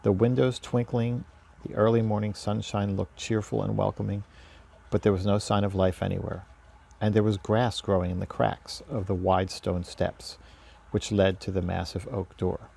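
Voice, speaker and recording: A man narrates a storybook in a low, mellow voice with a gravelly edge. There is faint noise on the home recording. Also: flat delivery, normal speed. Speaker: story reader.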